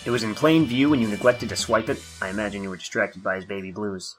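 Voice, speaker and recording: A man playing a dour police detective with a husky, forceful voice, needling with dry sarcasm in a noir comedy audio drama with music behind. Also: fairly low pitch, fairly even intonation, fast, close to an everyday voice, rough recording. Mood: sardonic. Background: background music.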